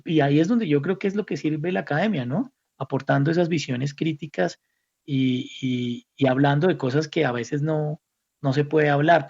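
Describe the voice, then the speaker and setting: Gravelly Colombian man, halting and reflective. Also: fairly high pitch, a little mellow. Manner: halting, repeats words while thinking. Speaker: academic guest, institute director. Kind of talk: reflective interview conversation. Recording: slightly dull, muffled audio.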